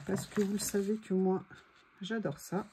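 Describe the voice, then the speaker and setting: A woman's voice: medium pitch, little pitch movement, normal speed, warm tone. A woman chatting casually and thinking aloud as she hosts a craft video, with a voice that is starting to give out. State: voice giving out, throat clearing. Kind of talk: casual chat while crafting. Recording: faint background noise.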